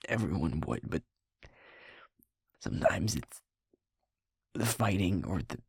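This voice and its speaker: A young man playing a sweet, guilt-ridden werewolf who is second in command of his pack, speaking in a breathy, halting, confessional way for an intimate audio roleplay. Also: sits low, sing-song, no echo, clean studio sound, full character voice. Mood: haunted, subdued. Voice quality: breathy, husky, often falling to a whisper. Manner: halting, heavy pauses, confessional.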